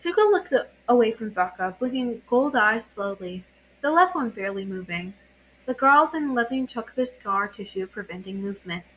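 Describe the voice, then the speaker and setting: A woman's voice: on the high side, expressive, moderate pace, slight background noise, low-quality recording. A woman narrating fan fiction as an amateur reader, often stumbling and rereading words. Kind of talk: story reading.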